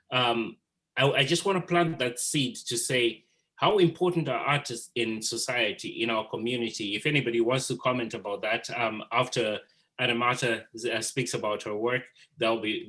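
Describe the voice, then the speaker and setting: A man's voice: medium pitch, pushed and bright. A man hosting an informal group art discussion, speaking earnestly and affirmingly as he moderates. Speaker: host of an artist talk.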